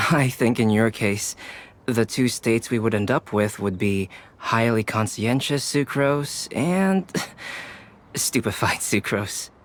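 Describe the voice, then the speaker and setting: A man's voice: mid-range voice, slow, slight background noise, close to an everyday voice. An actor playing a curious young male scientist in an anime game, polite, precise and teasing. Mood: amused.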